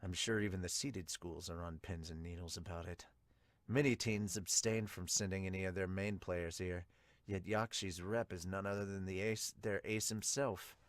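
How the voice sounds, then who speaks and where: A man voicing serious baseball characters in a manga dub, speaking in a hushed, measured manner. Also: deep voice, steady tone, no echo, voice pushed down, close to an everyday voice. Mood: measured, analytical. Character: low, serious male baseball characters and narrator.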